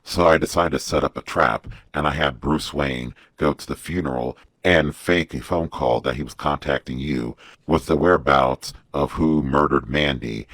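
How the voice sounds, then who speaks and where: A man voicing a grim, commanding male vigilante in a superhero story, speaking tersely and deliberately. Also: extremely low pitch, a bit bright, dry recording, pitched below the performer's own voice, full character voice.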